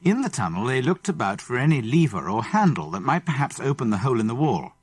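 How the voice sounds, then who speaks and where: A man's voice: mid-range voice, big pitch swings, quick pace, slightly rough, dry recording. A man with a British English accent narrating a children's adventure story dramatically. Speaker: audiobook narrator. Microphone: close studio microphone.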